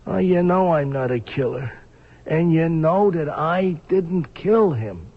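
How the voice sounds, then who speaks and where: A man's voice: on the high side, animated, slow pace, a little hiss or hum. A man playing a desperate small-time safecracker in a radio drama, stammering and pleading in streetwise slang. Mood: wheedling. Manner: stammering, repetitive, wheedling pleas. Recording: dull lo-fi radio drama recording.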